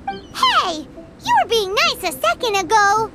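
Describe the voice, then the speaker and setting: A woman voicing a tiny, cheeky fairy companion in a video game, with a squeaky childlike voice and a perky manner. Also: huge pitch swings, measured pace, heavily acted. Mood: indignant. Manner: perky, talks of herself in third person. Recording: game music under the voice.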